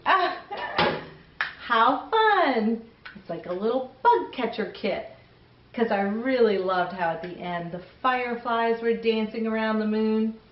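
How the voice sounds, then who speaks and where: A woman's voice: on the high side, takes it slowly, roomy sound, dull audio, a little hiss or hum. A woman hosting a children's storytime, reading aloud expressively and chatting enthusiastically with young listeners. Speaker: children's storytime host and reader.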